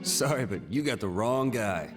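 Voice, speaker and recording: A man's voice: medium pitch, expressive, normal speed, natural voice. An actor playing a flustered, awkward young man, the game's hero, who stammers often. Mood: defensive. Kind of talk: video game cutscene voice acting. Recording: game audio with background music.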